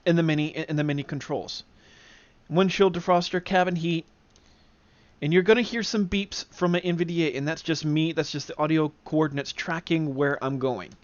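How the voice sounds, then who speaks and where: A man with a fairly high voice walks listeners through a demonstration in a casual, halting manner, often clearing his throat. The recording is dry and somewhat dull, with little room sound. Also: fairly even intonation, no room sound. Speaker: demonstrator, flight simulator enthusiast. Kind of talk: casual spoken tutorial demonstration.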